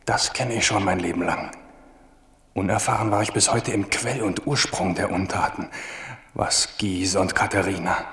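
A man's voice: sits low, moderate pace, a bit bright. An actor playing an embittered, defiant king in a declamatory radio play monologue. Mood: bitter, resolute. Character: embittered young king of Navarre, reflective and defiant. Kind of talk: 1970s radio play acting. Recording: reverberant hall with music behind.

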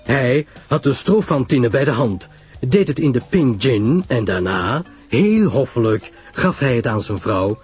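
A man voicing a shrewd, courteous, self-assured detective in an audiobook story, husky, deliberate and emphatic in explaining. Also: medium pitch, big pitch swings, darkish tone, soft background music, heavily acted. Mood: explanatory, assured.

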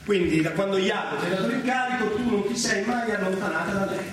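An actor playing a jealous general in a stage tragedy, swinging from hushed menace to shouting at his wife. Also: high-pitched, fast talker, close to an everyday voice. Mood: suspicious, probing. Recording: very echoey, distant, noisy stage recording.

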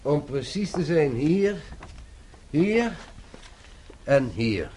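An actor playing a polite, unruffled police inspector in a radio play, speaking formally. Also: male voice, a little high, very animated, drawn-out words, mellow, a little hiss or hum, full character voice.

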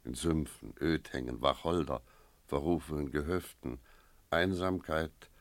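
An actor playing a sober village innkeeper who tells an eerie tale in a measured, reflective radio drama style. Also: male voice, rumbling low, no room sound. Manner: measured, reflective, literary storytelling. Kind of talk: radio drama narration and dialogue.

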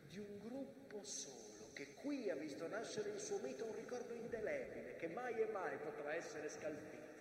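A stage actor playing a proud, brooding mountain that remembers a war, declaiming emphatically with long dramatic pauses. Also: male voice, sits high, natural voice. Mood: solemn, mournful. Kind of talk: theatrical stage monologue in rhyming prose. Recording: echoey hall from far off, noisy.

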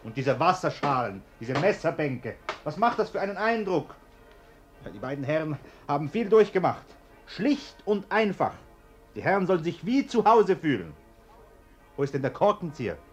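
A fussy, well-to-do householder in a radio play. His voice sits rather high and swings widely in pitch. He gives orders in a nervous, agitated, bossy manner. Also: sing-song, moderate pace, faint music behind. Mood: fussy, commanding. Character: nervous, bossy bourgeois householder feigning plain simplicity. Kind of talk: German radio play acting.

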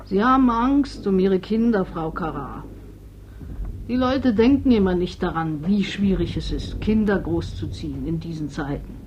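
An actress in a German radio play playing a gentle, weary old woman, a bereaved mother. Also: fairly low pitch, normal speed, lightly acted. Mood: subdued, sorrowful. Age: older. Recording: dull, slightly noisy radio play recording.

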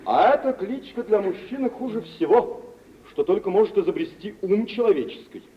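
Smug charming gentleman for an old radio drama, theatrical and condescending, really lively intonation, dull hissy sound. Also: sits high, normal speed. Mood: smooth, ironic. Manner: theatrical, ironic, smoothly condescending. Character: suave, self-assured gentleman shipowner, mocking and imperious. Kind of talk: mid-20th-century Russian radio theatre acting.

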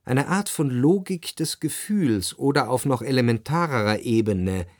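Contemplative literary narrator, actor, male, medium pitch, analytical and articulate, radio drama storytelling. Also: lively intonation, normal speed, a little mellow, lightly acted. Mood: reflective, analytical.

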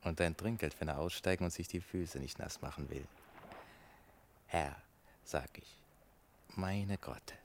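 An actor in a German radio play as a sly boatman who fleeces tourists, talking deliberately to himself. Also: deep voice, a little hiss or hum. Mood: scheming.

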